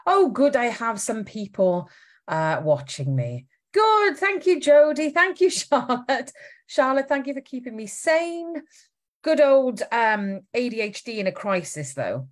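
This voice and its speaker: A woman from Wales whose Welsh accent has softened over the years. She hosts a casual live stream and talks in a chatty, lively way, greeting viewers and reading out their comments. She is speaking into a webcam or phone mic. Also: a little high, measured pace. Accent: faint Welsh. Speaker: founder hosting a live stream.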